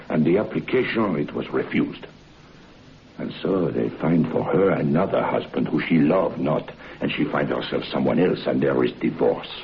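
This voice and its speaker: A jittery French head waiter with a heavy French accent and halting, broken English. His voice sits extremely low and gravelly. It comes through a tinny, phone-like old radio recording. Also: slight background noise, heavily acted. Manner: broken English, urgent pleading. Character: nervous French male head waiter, earnest. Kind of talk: old-time radio drama acting.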